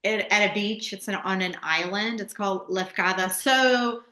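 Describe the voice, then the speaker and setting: A woman from Charlotte in the US, chatting casually as an interview guest and explaining things in an informal, halting way. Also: mid-range voice, pushed and bright. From born in Greece, grew up in Charlotte, USA. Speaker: podcast guest, real estate transaction coordinator. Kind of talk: casual chat. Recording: echoey room.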